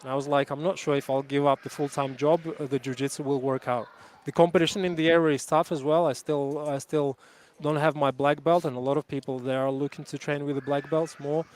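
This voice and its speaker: A man's voice: fairly high pitch, little pitch movement, a little fast, a little mellow, slight background noise. A man in his thirties, a jiu-jitsu coach, answering interview questions earnestly and reflectively, with frequent restarts. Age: 30s.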